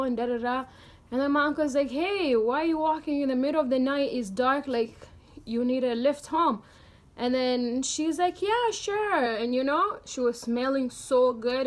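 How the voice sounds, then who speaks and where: A young woman with a notably high voice chats through a personal story like a vlogger. She is from Tanzania and talks in loose slang, leaning hard on her points with animated emphasis. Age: young adult. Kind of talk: casual chatty storytelling.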